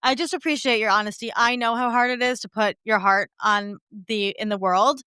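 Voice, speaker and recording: Woman, podcast host, very smooth clear tone, effusive and appreciative, conversational, close dry sound. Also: a little high, a little fast, bright, forceful tone, no echo. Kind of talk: conversational interview.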